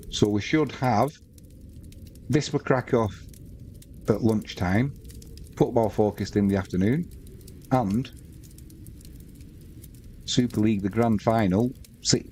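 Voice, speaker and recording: A man's voice: on the low side, a little mellow, a little hiss or hum. A man with a Manx accent hosting a live sports stream, chatting casually and reading out viewer comments between bits of match commentary.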